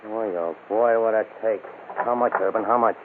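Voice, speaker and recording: A man plays a low-voiced, greedy henchman in a vintage radio crime drama. He talks in excitable tough-guy slang, under very loud static. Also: normal speed, natural voice. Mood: gleeful, greedy. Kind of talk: old-time radio crime drama acting. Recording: tinny, very noisy old radio recording.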